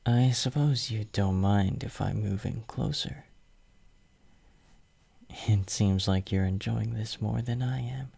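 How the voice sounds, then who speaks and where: A man playing a devoted, possessive young hero in a romantic ASMR roleplay, murmuring tenderly and intimately in a breathy whisper close to the microphone. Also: fairly low pitch, slow, warm tone, full character voice. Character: devoted, possessive young male hero soothing his lover.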